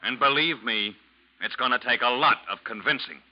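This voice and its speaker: A man's voice: mid-range voice, moderate pace, noisy background, close to an everyday voice. Man acting hard-nosed interrogator, muffled old radio. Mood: cold, hard. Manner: clipped, sardonic, pressing questions. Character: hard, relentless male interrogator, sardonic and accusing. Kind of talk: 1940s American radio drama acting. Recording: muffled vintage 1940s radio broadcast.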